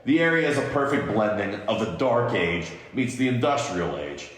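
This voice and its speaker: A man's voice: fairly low pitch, animated, moderate pace, fairly bright tone. A man, a podcast co-host, reading a film script aloud in an amateur table read. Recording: very echoey room.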